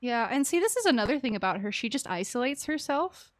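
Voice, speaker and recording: High-voiced, chatty woman streaming a game. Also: big pitch swings, a little fast. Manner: chatty, sympathetic, giggly, uses pet names. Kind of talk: casual live commentary on a game.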